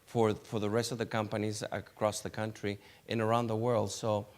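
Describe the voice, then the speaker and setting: A man's voice: on the low side, flat delivery, a little mellow. A man moderating a conference panel, introducing the next speaker with many restarts. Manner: halting, many restarts and light laughs. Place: conference hall.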